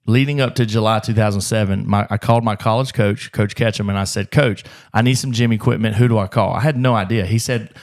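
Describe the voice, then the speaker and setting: A man hosting a casual podcast, telling stories quickly and rambling, with swearing along the way. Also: fairly low pitch, steady tone. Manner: fast, rambling, swears freely. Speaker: gym owner, podcast host.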